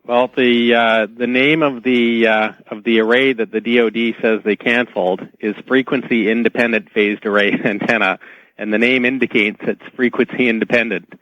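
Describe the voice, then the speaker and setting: A man speaking unscripted as a radio guest, in measured, halting phrases over a dull phone-in line. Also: medium pitch, steady tone, no room sound. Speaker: guest on radio call-in show. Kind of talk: unscripted interview commentary.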